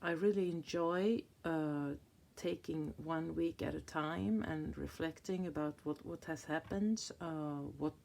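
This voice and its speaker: Swedish lady in her 50s, low gravelly voice, slow and unsure, lots of restarts while she flips her planner. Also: darkish tone. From Sweden. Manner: hesitant, searching for words, many restarts. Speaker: planner vlogger. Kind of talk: casual flip-through chat. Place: indoors at a table, hands on a planner.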